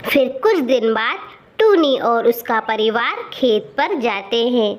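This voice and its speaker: A woman's voice: a little high, expressive, slow pace. A woman narrating a scripted children's story. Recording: muffled with faint background noise.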